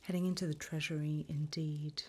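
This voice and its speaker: A woman voicing a politely bureaucratic, wickedly encouraging demon recruiter in a breathy, unhurried ASMR whisper. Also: sits low, level tone, a little mellow. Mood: businesslike, wicked relish. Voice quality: breathy whisper, mostly unvoiced. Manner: unhurried, polite, dryly businesslike about torment.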